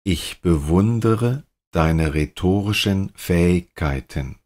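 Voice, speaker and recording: A native German man with a very low voice reads short practice sentences for learners. He speaks slowly and deliberately, saying each line several times. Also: animated, a little mellow. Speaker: language teacher. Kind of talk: slow repeated example sentences.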